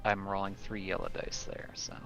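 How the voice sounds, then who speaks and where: A man's voice: low voice, completely flat delivery, normal speed. A man chatting casually as a player in a tabletop game. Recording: dull lo-fi mic, faint music. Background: faint music.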